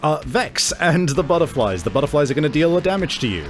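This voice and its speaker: A man acting as game master, improvising narration for a tabletop horror game in halting descriptions. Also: a little high, sing-song, faint music behind.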